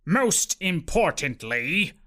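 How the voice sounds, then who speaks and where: A man voicing a stern, pompous, greedy rich uncle who speaks slowly and grandly in a storybook reading. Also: on the high side, very animated, bright, forceful tone, heavily acted. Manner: pompous, slow, decreeing.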